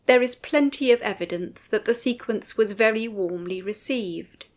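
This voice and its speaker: A woman narrating a scripted commentary in a formal, measured way, phrasing each sentence with care. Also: a little high. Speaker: writer and narrator of a music commentary.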